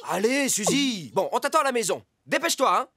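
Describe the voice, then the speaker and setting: A woman's voice: a little high, very animated, fairly quick, background noise. A woman voicing a cocky, naive male fox who poses as a pet dog in a children's cartoon. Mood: breezy, bossy. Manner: boastful, brash. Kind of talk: animated children's cartoon dubbing.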